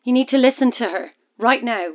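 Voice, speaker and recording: Actress as an urgent, high-voiced woman. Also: brisk, phone-quality sound, natural voice. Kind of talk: audio horror drama acting.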